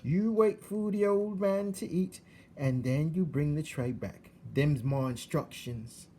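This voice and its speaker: A man voicing a loud, simple-minded, hard-of-hearing servant girl in a storybook, with an eager, ungrammatical way of talking. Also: on the high side, slow pace, a little hiss or hum, pitched above the performer's own voice. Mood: dutiful. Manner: shouting, ungrammatical, dim and eager.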